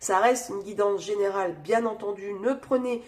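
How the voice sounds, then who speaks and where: A woman's voice: medium pitch, fairly even intonation, moderate pace, a little mellow. A woman with a cold reading tarot cards in casual chat, speaking through her nose with many fillers and talking straight to her viewers. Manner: chatty, many fillers, addresses viewers directly. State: has a cold, nasal voice. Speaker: tarot reader.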